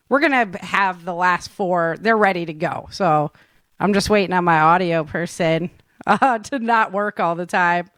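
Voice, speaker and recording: A woman's voice: on the low side, fairly bright tone, clear, resonant tone, no echo. A woman hosting a podcast in casual chat, with an informal, rambling, giggly way of talking. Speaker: podcast host.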